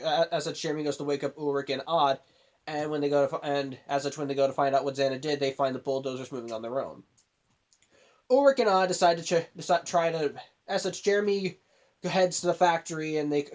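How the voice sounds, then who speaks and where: A man's voice: fairly high pitch, a little mellow. Nasal man with a cold recapping. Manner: rambling, many restarts and self-corrections. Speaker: show reviewer. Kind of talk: casual episode recap.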